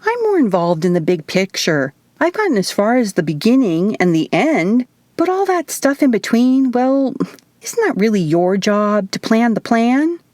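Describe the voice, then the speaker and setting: A woman voicing an excitable, flighty ancient female being with a squeaky voice and sassy Valley Girl flippancy in an audiobook. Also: moderate pace, no room sound. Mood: indignant.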